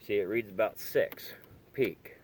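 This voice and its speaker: Male hobbyist demonstrator, low-pitched voice, tentative and halting, informal show-and-tell delivery, handheld camera in a car. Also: a little mellow, a little hiss or hum. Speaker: hobbyist demonstrating radio gear. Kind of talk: casual hands-on demonstration. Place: inside a parked car. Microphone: handheld camera or phone.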